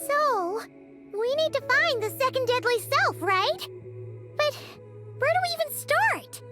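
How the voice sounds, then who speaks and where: A tiny, childlike female fairy sidekick from a fantasy game. The voice is extremely high and squeaky, bouncing up and down with eager, naive excitement. Prominent music plays behind it. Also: measured pace. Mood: curious. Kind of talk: scripted video game dialogue.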